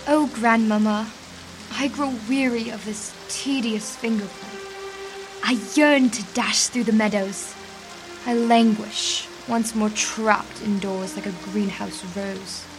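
A woman's voice: a little high, steady tone, takes it slowly. A woman playing a sixteen-year-old girl in a radio drama, yearning and eager, with florid old-fashioned phrasing. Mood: weary, languishing. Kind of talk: theatrical radio drama acting. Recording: music and rain behind the voice.

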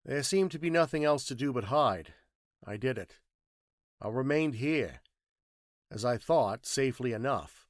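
A man's voice: medium pitch, animated, measured pace, long pauses, no room sound, studio quality, close to an everyday voice. A man voicing a troubled, bewildered fugitive making an earnest, dramatic confession in an audiobook story. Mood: distressed, confessing.

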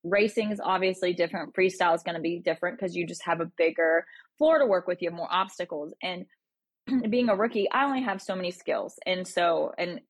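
Low-voiced woman, dry close sound. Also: fairly quick, no room sound. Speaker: rookie monster truck driver. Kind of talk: casual interview answers.

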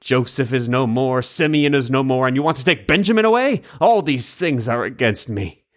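A man's voice: mid-range voice, expressive, normal speed, pitched above the performer's own voice, natural voice. A man voicing a grieving elderly father who speaks in a sorrowful, reproachful way.

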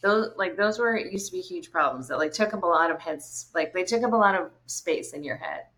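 A woman's voice: fairly low pitch, animated, brisk, a bit bright, low-quality recording. A woman in her thirties from Maine, a guest in a casual podcast interview, talking easily with lots of "like" fillers. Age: 30s. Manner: easygoing, many fillers like "like".

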